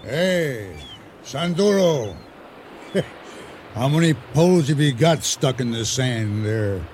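A man's voice: mid-range voice, expressive, takes it slowly, darkish tone. A jovial fisherman who takes his time with each word. He is hearty and teasing, in radio play style, over faint outdoor noise. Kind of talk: radio drama acting.

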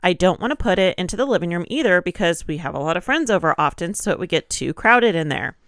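A woman with a slightly low voice talks informally as a podcast co-host. She sounds a bit congested and nasal, as if from allergies, and moves between loose chat and reading posts aloud. The sound is close and dry. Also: animated, quick pace, fairly bright tone, no echo. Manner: informal, chatty.

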